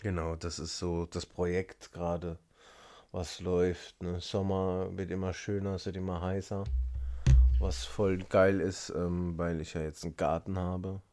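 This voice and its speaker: Slow, laughing man on a podcast. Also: deep voice, level tone, darkish tone. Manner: laughs often, jokey, trails off mid-sentence. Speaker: podcast co-host. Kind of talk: casual chat.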